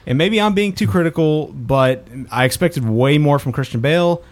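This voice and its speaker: A man's voice: a little high, normal speed. Casual male podcast film reviewer. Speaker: podcast host reviewing films.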